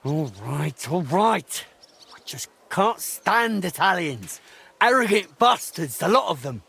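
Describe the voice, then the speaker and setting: An actor playing a middle-aged, washed-up drunken master craftsman in a video game, halting, grumbling and self-pitying, with a gravelly, husky voice. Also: high voice, very animated, pushed and bright, exaggerated voice. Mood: scornful. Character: ashamed washed-up drunken old master clockmaker, grumbling and reluctant. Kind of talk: video game drama acting.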